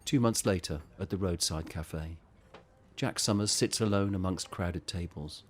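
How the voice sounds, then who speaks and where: A man narrating a scripted audio drama in a measured, descriptive way. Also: sits low, slightly rough.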